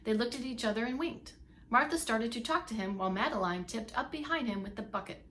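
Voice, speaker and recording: A woman's voice: a little high, brisk, fairly bright tone. Woman reading a storybook, faint background noise. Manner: emphatic storytelling with asides to listeners. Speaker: preschool teacher reading aloud. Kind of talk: expressive storybook read-along for young children.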